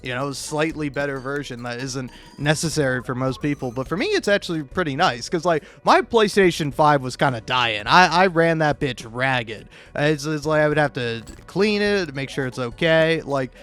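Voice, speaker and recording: A man's voice: fairly high pitch, animated, moderate pace, few pauses, a bit bright. A man doing casual, rambling YouTube gaming commentary, stammering and restarting often, with profane, self-deprecating talk.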